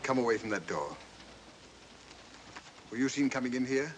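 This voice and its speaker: An actor playing a cold, calculating criminal mastermind in a 1940s film thriller, controlled and smoothly insistent. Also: male voice, medium pitch, lively intonation, normal speed, natural voice. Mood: commanding, suspicious. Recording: noisy, aged film soundtrack.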